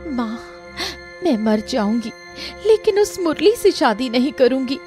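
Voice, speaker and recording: Woman acting a frightened, defiant young woman, swooping pitch. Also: big pitch swings, measured pace, dark tone, faint music behind. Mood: tearful, distressed. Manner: pleading and indignant. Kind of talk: dramatized Hindi cartoon story.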